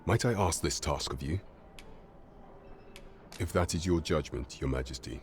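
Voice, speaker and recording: An actor playing a grave, courtly and loyal royal official in a fantasy game, speaking in formal, measured, archaic phrasing. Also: male voice, slight background noise. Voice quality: very deep. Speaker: actor voicing a royal advisor. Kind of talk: scripted fantasy game dialogue.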